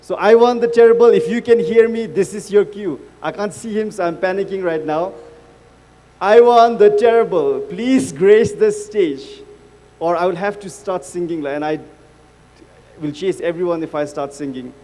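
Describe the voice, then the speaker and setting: A man's voice: high-pitched, moderate pace, dark tone. A man, working as master of ceremonies, calling people to the stage with chatty, joking banter. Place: large event hall. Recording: echoey hall with faint ambience.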